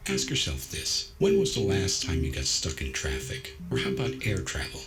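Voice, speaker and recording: A man playing a calm, self-assured android who reasons in a measured, nonchalant, gently wry way in a science fiction audio story. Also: deep voice, vocal fry, heavily acted. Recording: music behind the voice.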